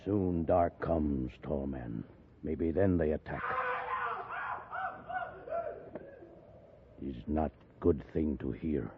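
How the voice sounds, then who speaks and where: An actor playing a stoic, fearless Crow warrior who speaks terse broken English in a 1950s radio western. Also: male voice, extremely low pitch, slow, very noisy, full character voice. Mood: grave. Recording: muffled, narrow-band vintage radio recording.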